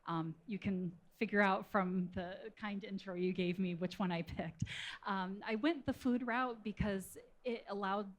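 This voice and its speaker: A woman's voice: mid-range voice, steady tone, unhurried. A woman speaking as an expert panel guest, explaining her work in a casual, conversational way. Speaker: panel guest, food scientist.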